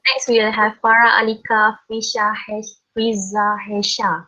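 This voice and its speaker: A Malaysian woman lecturer calling the roll in an online class, brisk and quick to laugh. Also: mid-range voice, flat delivery, background noise. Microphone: video call. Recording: muffled lo-fi call audio.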